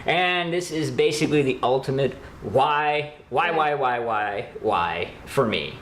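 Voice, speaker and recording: Animated opinionated horror news host, repeats stuff for emphasis, roomy echo, bit of rumble. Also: measured pace. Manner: emphatic, repeats words for effect. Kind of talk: opinionated casual commentary.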